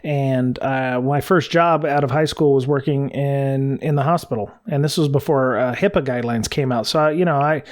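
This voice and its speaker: A man's voice: medium pitch, steady tone, moderate pace, hardly any pauses. An American man co-hosting a podcast in casual conversation, talking in a hesitant, rambling way. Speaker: podcast co-host.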